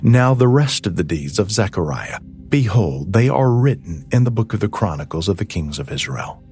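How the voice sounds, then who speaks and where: A man narrating scripture as a scripted audiobook reading, with measured, solemn phrasing. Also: lowish voice, big pitch swings, darkish tone. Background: ambient music.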